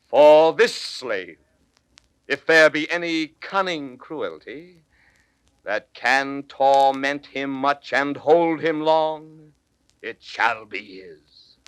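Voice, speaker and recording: An actor playing a stern, authoritative nobleman with formal, measured declamation in a 1940s Shakespearean audio drama. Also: medium pitch, fairly bright tone, clean tone, dry recording, low-quality recording. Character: stern, authoritative Venetian nobleman passing judgement.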